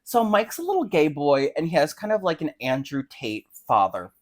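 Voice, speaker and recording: Very low-voiced young American woman, flippant. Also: very animated, moderate pace. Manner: irreverent, slangy, flippant. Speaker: podcast co-host. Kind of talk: casual unscripted chat.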